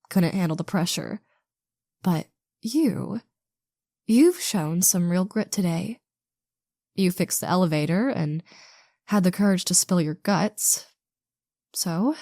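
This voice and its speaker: A woman's voice: fairly low pitch, mellow, dry recording, clean studio sound. A woman playing a haughty, sardonic famous actress with an imperious drawl in a scripted roleplay. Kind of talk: scripted roleplay audio drama.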